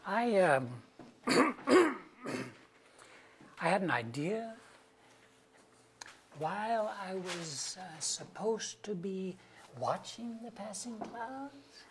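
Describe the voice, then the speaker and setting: A male actor playing an anxious, wry, amorous husband of about sixty in a staged play reading, husky, with a halting, self-mocking delivery. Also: high-pitched, very animated, a little hiss or hum, exaggerated voice. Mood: sheepish, deliberate.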